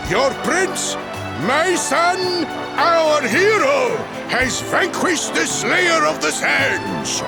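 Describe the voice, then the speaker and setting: A man's voice: very high-pitched, very animated, drawn-out words, gravelly, heavily acted. A man playing an older, proud king and father in a video game, speaking in a stately, declamatory manner. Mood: proud, ceremonial. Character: regal, proud father king addressing his people. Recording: loud music over the voice.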